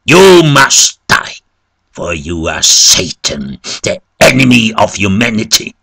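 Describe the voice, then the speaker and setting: A man's voice: fairly low pitch, big pitch swings, takes it slowly, gravelly, pushed and bright, breathy edge, dry recording, studio quality, full character voice. A man voicing a frightened, self-righteous village priest in a story, declamatory and accusing. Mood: horrified, righteous.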